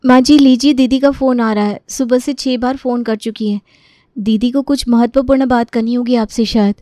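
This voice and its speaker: Woman voicing an overworked young daughter-in-law, high voice. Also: fairly even intonation, normal speed, mellow, no echo, clean studio sound, lightly acted. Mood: dutiful, explaining. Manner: pleading, complaining. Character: meek, overworked young Indian daughter-in-law, privately resentful. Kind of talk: dramatised Hindi storytelling.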